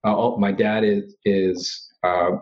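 A man's voice: fairly low pitch, little pitch movement, slow pace, a little mellow, lo-fi sound. A man from Florida, a guest and author, talking earnestly and reflectively in an interview, with light laughs. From Florida, USA. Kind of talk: candid interview conversation.

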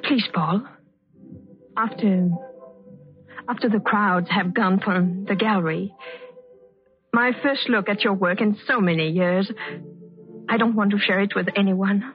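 An actress plays an anguished woman hiding secrets from the man she loves, in melodramatic old radio style. Her voice is mid-pitched and pleading, on a muffled vintage recording with music underneath. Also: steady tone, measured pace, long pauses, lightly acted. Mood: fond, insistent. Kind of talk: 1940s radio drama acting.

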